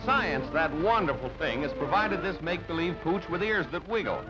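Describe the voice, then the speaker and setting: A man's voice: fairly high pitch, expressive, moderate pace, muffled recording. A man narrating an old newsreel from a script in a jaunty, theatrical announcer's manner. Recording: band-limited old newsreel, music underneath. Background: background music.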